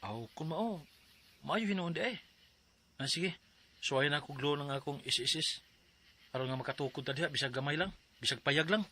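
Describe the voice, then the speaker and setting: An actor playing a calm, practical young husband in a radio drama. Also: male voice, fairly high pitch, moderate pace, pauses often, natural voice. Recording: noisy recording.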